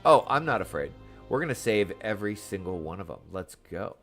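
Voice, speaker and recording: A man's voice: on the low side, big pitch swings, brisk, a bit bright, soft background music. A man doing casual livestream game commentary, chatty and playful with sing-song asides. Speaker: game streamer.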